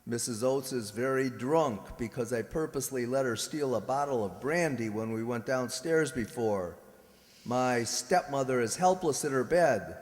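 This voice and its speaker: An actor playing a deranged murderous professor in a radio play, cold, menacing and deliberate. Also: male voice, mid-range voice, lively intonation, close to an everyday voice. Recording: echoey hall, long reverb.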